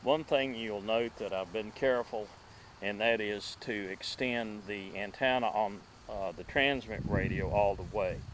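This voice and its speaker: A middle-aged man hosting a casual vlog about vintage gadgets, speaking outdoors in a halting, folksy way into a close microphone. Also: fairly low pitch, background noise. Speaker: video host showing vintage gadgets. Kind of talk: casual product showcase vlog. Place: outdoors at a table in a wooded yard.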